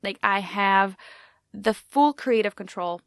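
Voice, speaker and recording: A woman's voice: medium pitch, slow pace, no echo, clean studio sound. A young woman, telling her story in a chatty way with lots of "like" and restarts. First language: Polish. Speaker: radio show host. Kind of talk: personal storytelling vlog.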